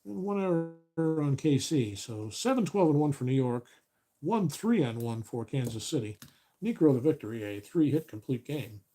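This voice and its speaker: Relaxed man calling a tabletop baseball replay. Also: medium pitch, moderate pace. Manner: relaxed, self-correcting, counting stats aloud. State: voice getting a little raspy. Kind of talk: play-by-play commentary of a board game replay.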